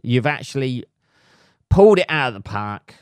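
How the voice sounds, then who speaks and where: A man, a little hoarse, ranting comically and swearing constantly in a rambling solo podcast monologue. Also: medium pitch, big pitch swings, normal speed, no echo. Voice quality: heavy swearing, rambling with many fillers. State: slightly hoarse. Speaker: podcast host. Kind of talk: solo comedic rant.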